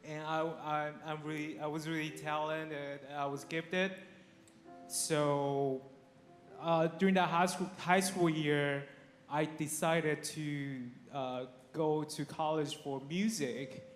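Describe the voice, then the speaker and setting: Man, fairly high voice, chatty testimony, echoey church hall. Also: steady tone, slow pace, soft background music. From Taiwan. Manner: chatty, laughs often, many restarts and fillers. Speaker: guest speaker. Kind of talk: personal testimony to a congregation. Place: church sanctuary. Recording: echoey hall with faint noise.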